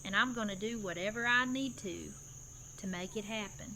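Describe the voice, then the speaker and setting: A woman's voice: medium pitch, normal speed, bright, forceful tone, a little hiss or hum, poor audio. An actress voicing a grieving, determined woman leader who speaks firmly. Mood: resolute. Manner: firm, resolute.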